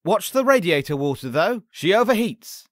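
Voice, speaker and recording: A man's voice: high voice, big pitch swings, moderate pace, fairly bright tone, dry recording, clean studio sound. A man playing a chipper, folksy, friendly doorman in a comic dub. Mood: cheery.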